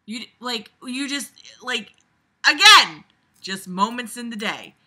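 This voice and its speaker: Casting: very bright, sharp voice for an exasperated read-along critic; casual, blunt, sarcastic, swings from muttering to emphatic outbursts. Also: mid-range voice, unhurried. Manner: animated, emphatic, jumps from muttering to loud outbursts. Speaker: reader reviewing a book aloud. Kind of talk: casual running commentary while reading a novel aloud.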